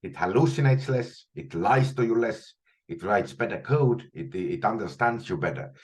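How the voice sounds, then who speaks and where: A very deep-voiced man with an Estonian accent leads a live online coding lesson from a small room at home. He thinks aloud as he works, restarting and repeating words often. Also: animated, moderate pace. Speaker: instructor.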